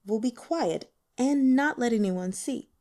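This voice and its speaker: A woman's voice: a little high, lively intonation, measured pace, dark tone. A woman voicing a polite, considerate male university teacher in an audiobook, with courteous small talk. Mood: friendly, coaxing.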